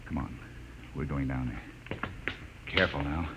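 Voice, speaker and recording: An actor playing a commanding spaceship captain in a 1950s radio drama, with terse delivery. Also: male voice, very deep voice, moderate pace. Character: spaceship captain, commanding man leading a marooned crew. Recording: noisy, dull 1950s radio recording.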